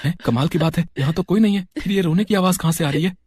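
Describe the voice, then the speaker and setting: An actor voicing a poor, hungry young village man who startles easily in an animated moral story. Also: mid-range voice, fast, slightly rough, dry recording, studio quality, lightly acted. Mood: puzzled, scared. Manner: stammering when flustered.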